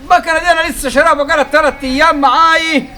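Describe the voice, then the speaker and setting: A man's voice: extremely high pitch, fairly even intonation, rapid-fire, bright, forceful tone, a little hiss or hum, heavily acted. A man plays a stammering villager in a street comedy sketch, grumbling about his motorbike. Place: outdoors on a street.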